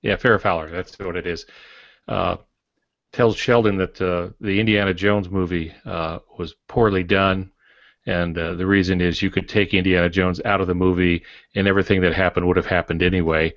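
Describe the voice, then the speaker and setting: An older man with a low voice chats as a podcast co-host. He rambles and corrects himself along the way. The sound is somewhat dull and muffled. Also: level tone, moderate pace, fairly bright tone. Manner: rambling, digressing, self-correcting. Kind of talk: casual panel discussion. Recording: roomy echo, dull muffled audio.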